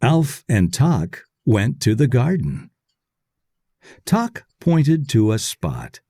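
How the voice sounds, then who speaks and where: A man reading a children's story aloud in short, deliberate, clearly separated phrases. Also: medium pitch, sing-song, mellow, dry recording, studio quality. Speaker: read-aloud narrator.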